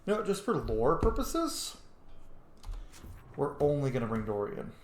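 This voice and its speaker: A man's voice: on the high side, very animated, measured pace, reverberant. A man giving casual gameplay commentary, often muttering to himself as he plays. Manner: mutters to himself while playing. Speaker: game streamer, let's player.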